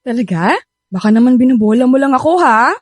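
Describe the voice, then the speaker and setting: A woman's voice: a little high, lively intonation, fairly quick, no room sound. A woman playing an anxious, hesitant young wife of an older man, with a Filipino accent, in a radio drama. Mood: anxious, unsure. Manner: hesitant, stammering when uneasy.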